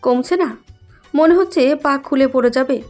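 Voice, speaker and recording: A woman voicing a sharp-tongued, complaining young woman in an audio story, with a pointed, argumentative manner. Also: high-pitched, animated, normal speed, soft background music. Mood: whining.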